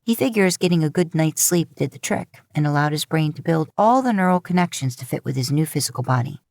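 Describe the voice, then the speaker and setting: Low-voiced woman narrating a story. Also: expressive, brisk, darkish tone, no room sound, clean studio sound. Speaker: podfic narrator.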